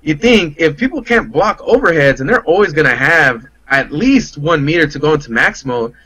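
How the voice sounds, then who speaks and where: A man's voice: a little high, expressive, normal speed, sharp tone, slightly rough, no room sound, low-quality recording. A man talking casually and loosely about fighting games, in a slangy, rambling way. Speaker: guest player on a fighting game talk show. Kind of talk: casual game discussion.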